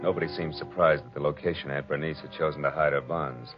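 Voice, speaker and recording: An American actor playing a tough, wisecracking private detective in 1940s radio drama, wry, clipped and sardonic. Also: male voice, a bass voice, fast talker. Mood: wry, matter-of-fact. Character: tough, wisecracking male private detective narrating his own case. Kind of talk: 1940s radio drama acting, hard-boiled first-person narration. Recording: muffled vintage radio recording with music.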